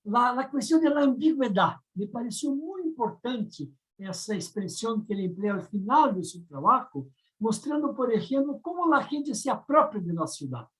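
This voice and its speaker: A woman from Brazil, a native Portuguese speaker with a Brazilian accent, giving thoughtful academic commentary as a discussant on a round-table panel, often pausing to search for words. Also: a little high, expressive, low-quality recording.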